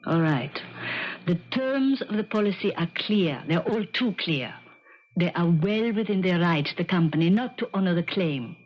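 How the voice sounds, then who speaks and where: A woman's voice: lowish voice, lively intonation, moderate pace. An actress playing a firm, devoted older woman in a 1970s radio drama, emphatic and coaxing, with foreign-style phrasing. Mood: firm, earnest. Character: old, stout, firm, devoted woman companion of pianist. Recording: dull vintage radio recording.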